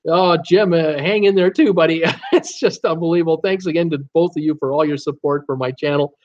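A man's voice: on the high side, fairly quick, muffled recording. A man in his sixties hosting a live stream from his home office. He reads viewer comments and chats casually, with lots of "uh" fillers. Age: 60s. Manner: rambling, chatty, frequent "uh" fillers, animated hand gestures. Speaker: cruise travel YouTuber hosting a livestream. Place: home office with shelves of cruise mugs, indoors.

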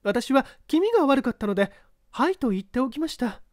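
A woman acting out an uneasy widow under questioning in a mystery story. Her voice sits fairly high, recorded dry and close. She answers politely and formally, in measured phrases. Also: very animated, dry recording. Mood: uneasy, subdued. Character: uneasy widow testifying before an examining judge. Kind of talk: dramatised mystery story reading.

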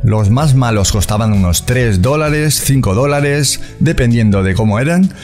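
A man speaking talks through numbers and examples in a mid-pitched voice. He explains patiently, step by step, like a channel host walking viewers through a screen recording. Faint music plays under his voice. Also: expressive, moderate pace, a little mellow. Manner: explanatory, walks through prices and calculations step by step. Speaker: crypto gaming channel host. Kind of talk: screen-recorded walkthrough commentary.

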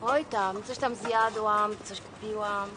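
A woman's voice: mid-range voice, steady tone, takes it slowly, noisy background, lightly acted. An actress playing a vain, foul-mouthed older woman who talks big and boasts. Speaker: film character, a broke woman selling shoes. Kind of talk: film acting.

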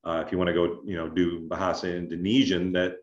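A man explaining things as an adviser in an online information session, in a measured, conversational way with check-in questions. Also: deep voice, flat delivery. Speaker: scholarship adviser, presenter. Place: indoors on a video call. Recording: roomy, dull video-call audio.

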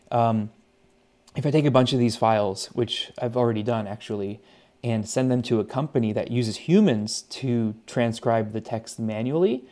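A man's voice: medium pitch, dark tone. A man giving a casual, unscripted tech demo in a conversational, hesitant way, as a hobbyist presenter at a desk at home. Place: small indoor home desk setup.

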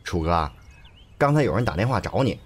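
A man's voice: low voice, sing-song, brisk, very noisy. A man playing a loyal, cocky gang henchman in an audio drama, chatty and colloquial. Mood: casual, deferential.

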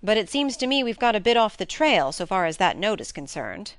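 A woman acts out a blunt, plain-spoken gentleman from a classic storybook. The delivery is emphatic and colloquial, swinging widely in pitch. The recording is close and dry. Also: very animated, brisk, no room sound, close to an everyday voice. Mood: blunt, impatient. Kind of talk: acted audiobook dialogue.

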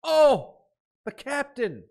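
A man in his thirties giving off-the-cuff reaction commentary, thinking aloud in an excitable but musing way. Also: very high voice, takes it slowly, gravelly, slight background noise. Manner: excitable, exclaiming, dropping to musing asides. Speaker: host of a reaction video. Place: small indoor room at a desk.

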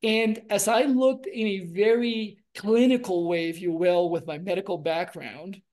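A man's voice: high-pitched, a little slow. A man in his forties from Puerto Rico, speaking earnestly on a video call as an interview guest and telling his story with many gestures and restarts. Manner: earnest, expressive, gestures a lot, restarts phrases. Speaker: guest, relationship coach and doctor. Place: home room with framed pictures, indoors.

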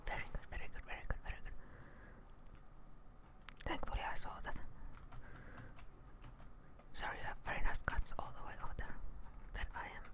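A young woman hosting a gameplay video in a breathy ASMR whisper, murmuring short reactions close to the microphone. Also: normal speed, pauses often. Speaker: gaming video host. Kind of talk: ASMR whispered game commentary.

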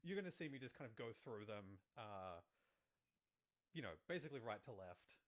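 A man's voice: lowish voice, very animated, moderate pace. A man in his thirties explaining things casually and loosely as a guest, with lots of "like" and "sort of," on a studio microphone. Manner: loose, filler-heavy, frequent "like" and "sort of". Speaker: Foley artist, guest. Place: small room indoors, video call. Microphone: studio microphone on boom arm, headphones.

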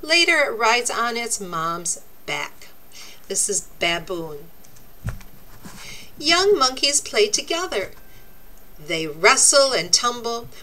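A woman reading a children's nonfiction book aloud, with deliberate, expressive, teacherly phrasing. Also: fairly high pitch, slight background noise, low-quality recording. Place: indoors, book laid open before a camera.

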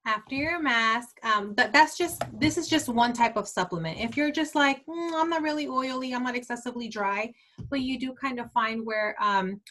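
This is a woman in her twenties walking her sales team through skincare products. Her voice sits a little high, and her talk is casual and rambling, full of "like" and "um". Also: normal speed, sharp tone. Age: 20s. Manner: chatty, many fillers like "like" and "um". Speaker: team leader of a beauty sales team.

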